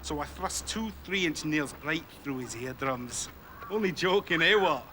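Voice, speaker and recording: An actor playing a menacing Scottish gangster with a Scottish accent who makes jokey threats. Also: male voice, high-pitched, expressive, normal speed, sharp tone. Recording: background noise.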